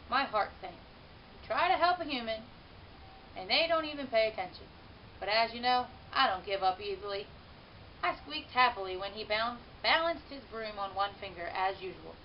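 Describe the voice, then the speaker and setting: A woman reads a children's story aloud in an expressive, animated way, stretching words for emphasis. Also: medium pitch. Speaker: teacher reading a children's book aloud. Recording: muffled, hissy home-style recording.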